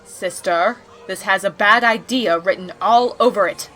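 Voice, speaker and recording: Woman doing a grumpy pony princess sister, medium pitch, blunt n sarcastic, cartoon audio drama, very exasperated. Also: a little slow, pushed and bright, low-quality recording. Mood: skeptical. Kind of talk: scripted fan audio drama acting.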